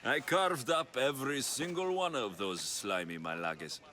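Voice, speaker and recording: A man's voice: medium pitch, animated, moderate pace, pushed and bright, a little hiss or hum. A man playing a blunt, brash young mercenary hero of ancient Greece in a video game, speaking in a cocky way. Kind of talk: dramatic video game cutscene acting.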